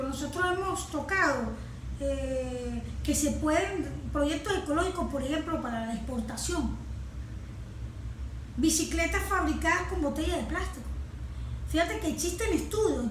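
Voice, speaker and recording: Female guest panelist, very high voice, halting explanations, echoey room. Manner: hesitant, halting, with frequent pauses. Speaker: guest panelist, ecology project specialist. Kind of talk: spontaneous explanatory talk. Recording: roomy echo, faint background noise.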